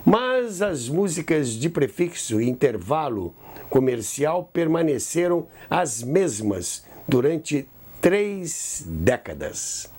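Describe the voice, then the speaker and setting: Animated Brazilian man telling stories, higher-pitched. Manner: animated storyteller, rhetorical questions and asides. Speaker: podcast host. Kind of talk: conversational storytelling about radio history.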